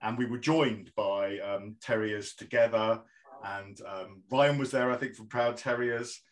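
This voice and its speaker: A man speaking as a guest in a podcast discussion, recounting things in a relaxed way with some fillers. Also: on the low side, measured pace, low-quality recording.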